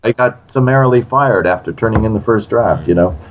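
A man's voice: fairly low pitch, brisk, dull audio, a little hiss or hum. A man in his sixties, a screenwriter in an interview, telling a story animatedly and acting out quotes. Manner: animated, acts out quotes in other voices. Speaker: screenwriter guest.

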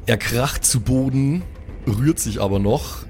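A man's voice: mid-range voice, a little slow, music in the background. A man, narrating an improvised tabletop game as game master.

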